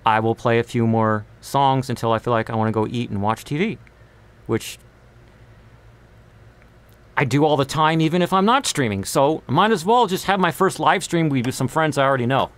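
A man's voice: medium pitch, expressive, normal speed. A man in his thirties chatting casually and informally on a live stream, rambling a little, recorded close on a studio mic in a small bedroom. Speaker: live streamer and singer-songwriter. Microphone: large condenser mic on boom arm, close.

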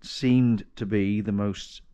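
A man with a lowish voice talks through hands-on work in a big indoor garage workshop. He thinks aloud as he goes, often restarting and correcting himself. The sound comes from a camera strapped to his chest. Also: fairly even intonation, takes it slowly, warm tone, clear, resonant tone. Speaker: home mechanic vlogger. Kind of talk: casual workshop narration. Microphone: chest-mounted action camera.

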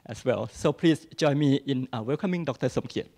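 Event host: man with husky, medium-pitched voice, introducing a speaker in a lecture hall. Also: a little fast, mellow. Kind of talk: introduction speech.

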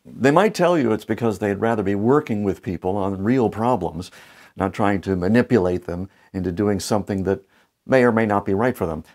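A man in his fifties hosting a marketing show, speaking to camera in a playful, lively, gently joking way on a lapel mic in a studio. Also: lowish voice, fairly quick. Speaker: marketing coach and show host. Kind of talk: scripted talk to camera. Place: bright studio set.

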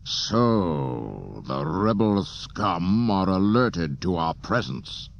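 A man voicing a menacing, commanding dark lord of an empire in an audiobook, imperious and cold, on a dull, hissy cassette. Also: low-pitched, big pitch swings, very slow, creaky, exaggerated voice. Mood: contemptuous anger. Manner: imperious, cold, clipped orders. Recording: dull cassette transfer with hiss.

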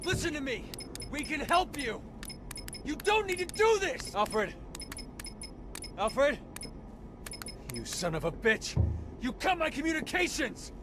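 An actor playing a desperate captive in a video game, loud, strained and agitated. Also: male voice, very high voice, very animated, slow pace, pauses often, background noise, heavily acted. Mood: angry shouting. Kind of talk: video game cutscene acting.

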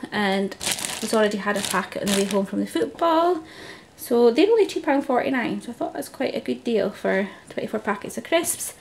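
A woman, chatting casually through a grocery haul voice-over, relaxed and full of ums. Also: a little high, gravelly, slight background noise. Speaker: vlogger.

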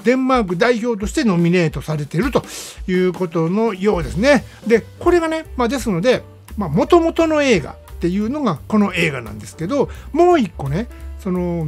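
Lively Kansai man hosting a radio show. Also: high-pitched, slightly rough. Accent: Kansai Japanese. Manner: chatty, emphatic, peppering phrases with "ne". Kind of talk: casual film review monologue.

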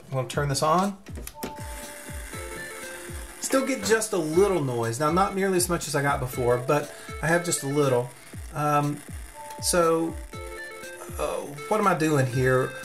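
A man giving an informal hands-on electronics demonstration, explaining as he goes and thinking aloud. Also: fairly high pitch. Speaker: electronics tutorial presenter. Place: at a desk indoors.

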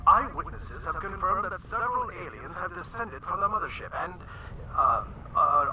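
A man's voice: high voice, sharp tone, a little hiss or hum, full character voice, poor audio. An actor playing an earnest male TV news anchor, reading an urgent bulletin formally in a video game. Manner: formal, urgent announcing delivery.

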